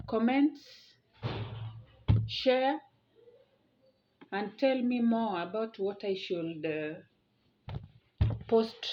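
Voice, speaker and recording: A woman presenting an informal explainer, talking haltingly and repeating phrases for emphasis. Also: medium pitch. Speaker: video presenter. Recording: dull lo-fi audio.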